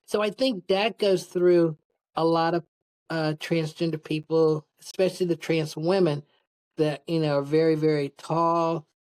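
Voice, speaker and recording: Podcast guest: middle-aged woman with a low-set voice, casual and candid, wandering through personal stories. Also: steady tone, unhurried, darkish tone, smooth. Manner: candid, rambling storytelling. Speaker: podcast guest, public speaker. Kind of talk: casual interview, personal anecdotes.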